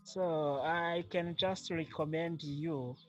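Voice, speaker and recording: A man with a Rwandan accent whose first language is Kinyarwanda, answering interview questions slowly and carefully, with many pauses and fillers. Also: fairly high pitch, fairly even intonation, lo-fi sound, soft background music. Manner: slow, measured, with frequent fillers. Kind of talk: casual interview.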